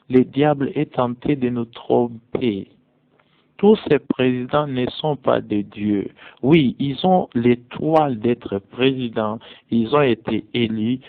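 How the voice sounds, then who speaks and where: A man preaching as a prophet in slow, deliberate French, his being English. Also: mid-range voice, no room sound. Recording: tinny phone-like audio, dry.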